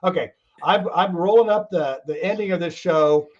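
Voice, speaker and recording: A man's voice: high voice, moderate pace, darkish tone, lo-fi sound. A man hosting a casual talk show, rambling along in a folksy way with frequent chuckles.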